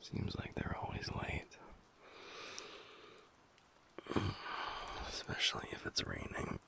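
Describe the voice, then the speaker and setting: A man playing a tender, teasing alpha werewolf boyfriend in an intimate audio roleplay, murmuring softly in a breathy, gravelly whisper with pauses. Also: close to an everyday voice. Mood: relaxed, soft. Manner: slow, soft, pausing, murmured.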